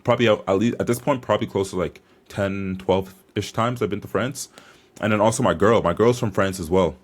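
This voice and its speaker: A man's voice: fairly low pitch, brisk. A young man vlogging as a bodybuilder, chatting casually to camera in a hyped, informal, slangy way.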